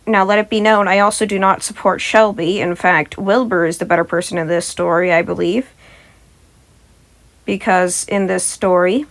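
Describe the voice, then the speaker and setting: A woman with a medium-pitched voice speaks as the reader and host of a story channel. Her style moves between narration and informal talk to listeners. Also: fairly even intonation, moderate pace. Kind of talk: scripted story narration and casual asides to listeners.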